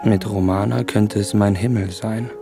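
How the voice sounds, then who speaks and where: An actor playing a sensitive, enamoured young gentleman who speaks reflectively in a literary radio drama. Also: on the low side, steady tone, moderate pace, vocal fry, loud music behind the voice. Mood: dreamy.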